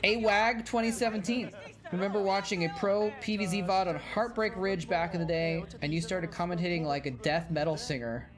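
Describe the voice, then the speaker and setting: A man's voice: high-pitched, steady tone, brisk. A man streaming and chatting casually as a former game caster, rambling and correcting himself as he answers viewers, his voice tired after hours of talking. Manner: rambling, self-correcting, reads and answers chat aloud. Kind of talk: casual live-stream chat while watching old matches.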